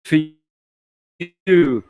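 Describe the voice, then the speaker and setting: A man's voice: very slow, darkish tone. A man moderating a live panel, chatty and encouraging as he puts questions and tells anecdotes.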